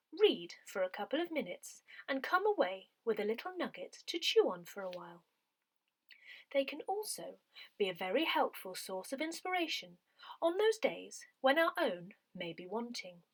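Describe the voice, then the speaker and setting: Female reader for an audio newsletter: higher-pitched voice, very varied intonation, measured scripted delivery. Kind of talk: scripted read-aloud of a parish newsletter.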